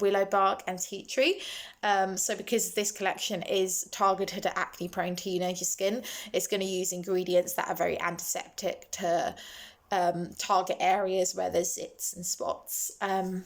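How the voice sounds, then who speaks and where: A young woman with a lisp, casually walking through her skincare products on camera with frequent ums and self-corrections. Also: mid-range voice. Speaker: skincare vlogger.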